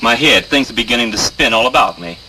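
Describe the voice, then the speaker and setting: An actor playing a smooth, polite con-man preacher, speaking in an unctuous manner. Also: mid-range voice, lively intonation, fast talker, crowd noise, lightly acted, low-quality recording. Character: smooth-talking phony traveling preacher.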